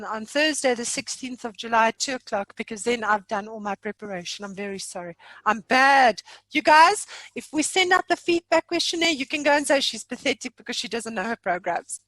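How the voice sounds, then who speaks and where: A woman's voice: a little high, lively intonation, fairly quick, a bit bright, no room sound, rough recording. A woman hosting an informal online training session in a jokey, chatty, self-deprecating way. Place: remote online meeting from own room.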